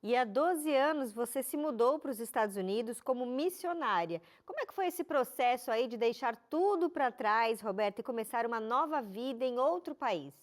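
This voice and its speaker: A woman hosting a faith-themed TV interview, reading a clear introduction and asking measured questions. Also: on the high side, animated. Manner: clear, measured presenter delivery. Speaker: TV show host.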